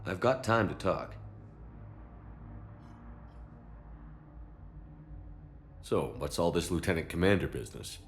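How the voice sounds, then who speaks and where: Deep-voiced, steady military commander. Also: male voice, a little fast, faint music behind. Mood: firm, supportive. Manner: direct, clipped phrasing. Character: male military commander, steady, supportive, direct. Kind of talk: video game voice acting.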